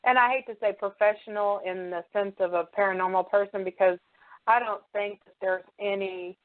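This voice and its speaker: A woman's voice: medium pitch, fairly even intonation, a little fast, narrow, tinny audio. A woman hosting a casual interview, talking in a loose, hesitant, conversational way. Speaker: show host, interviewer.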